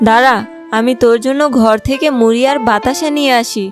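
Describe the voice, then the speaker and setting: A woman voicing a caring but scolding village mother in an animated fairy tale. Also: a little high, a little fast, over music, close to an everyday voice. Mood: fond.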